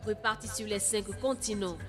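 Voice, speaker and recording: A woman reads a scripted station promo in a rhythmic jingle cadence, and some phrases come back as echoes. Her voice sits in an ordinary middle range. Loud music plays under her the whole time. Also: mid-range voice, steady tone, moderate pace. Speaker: station announcer.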